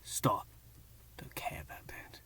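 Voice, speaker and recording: Guy doing a cold interrogator, whispery and slow, super breathy. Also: a bit bright, no echo. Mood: curt. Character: male interrogator, cold and terse.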